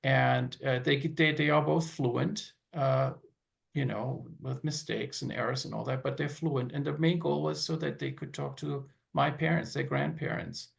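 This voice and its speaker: A man's voice: mid-range voice, steady tone, a little slow, gravelly. A man talking as a panel guest, hesitant and full of fillers and restarts. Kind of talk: casual panel chat.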